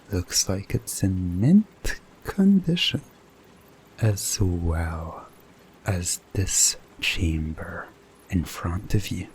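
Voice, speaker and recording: A man narrating a scripted second-person ASMR roleplay in a deliberate, suspenseful way, breaking sentences into short phrases. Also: deep voice, darkish tone, slight background noise. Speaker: hypnosis audio narrator.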